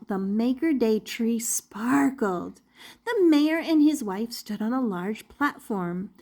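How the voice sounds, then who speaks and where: An older woman reading a children's picture book aloud expressively, deliberately drawing out key words as a storyteller. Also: a little high, darkish tone.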